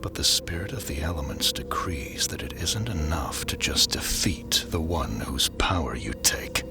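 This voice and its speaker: An actor playing a menacing, smug crime syndicate villain in an audio drama, taunting with deliberate delivery. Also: male voice, a bass voice, very animated, whispered, full character voice. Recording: music behind. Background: dramatic music.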